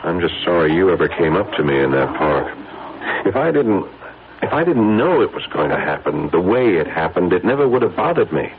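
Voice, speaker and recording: A man's voice: low-pitched, big pitch swings, a little fast. An actor playing an anxious, brooding businessman in a radio drama, speaking earnestly and hesitantly about his uneasy conscience. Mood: anguished, restless. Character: guilt-ridden, anxious businessman confessing a troubled conscience. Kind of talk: old-time radio drama acting. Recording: narrow, tinny radio recording, faint noise.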